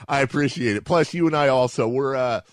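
A man with a bassy voice hosting a casual sports talk interview, chatty, informal and digressive. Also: brisk, dry recording, clean studio sound. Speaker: radio show host, interviewer.

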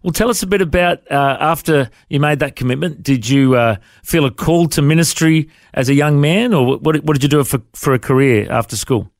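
Man, podcast host, encouraging questions, slightly high voice, dry sound. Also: a little fast, few pauses, dry recording. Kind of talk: interview hosting.

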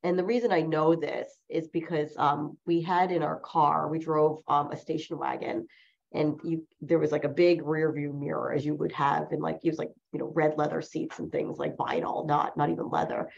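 A woman in her forties with a low voice edged with vocal fry, speaking as a lawyer whose first language was Chinese. She tells her stories reflectively, circling back and correcting herself as she goes. The recording sounds muffled and lo-fi. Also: fairly even intonation, normal speed, a little mellow. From New Jersey, USA. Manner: reflective, rambling, with many self-corrections. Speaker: lawyer, guest. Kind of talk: personal storytelling in an interview. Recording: dull lo-fi recording.

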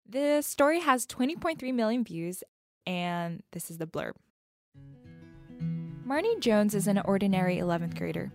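Young woman podcast host telling her story, confiding n playful, mid pitch, music under it. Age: young adult. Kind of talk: scripted personal narration.

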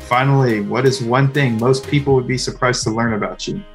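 A male podcast host asking short questions in a very level, even voice. His pitch is average for a man, with hardly any rise or fall. Faint music sits behind him. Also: a little fast. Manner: brief, steady questions. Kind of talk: interview hosting.

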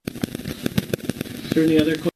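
Higher-voiced man moderating, very noisy audio. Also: monotone, slow. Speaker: interview moderator relaying audience questions. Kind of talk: casual live Q&A, reading out questions. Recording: very noisy live stream audio, clicks.

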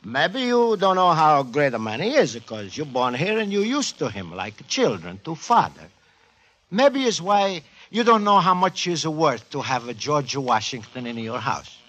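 An actor playing a humble, earnest Italian immigrant shopkeeper with an Italian accent and broken English, in 1940s radio style. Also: male voice, fairly high pitch, very animated, unhurried, a bit bright, heavily acted. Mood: earnest, heartfelt. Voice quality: broken English with added vowels. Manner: earnest, guileless. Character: warm, earnest Italian immigrant antique dealer, humble and proud. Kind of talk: 1940s radio comedy-drama acting.

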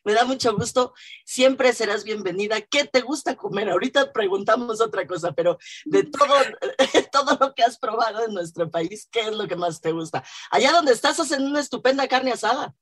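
A woman's voice: on the high side, expressive, normal speed, husky. A woman with a Mexican accent hosting a radio talk show, enthusiastic and chatty, with frequent fillers. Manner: warm, enthusiastic, many fillers. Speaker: radio host. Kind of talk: radio interview.

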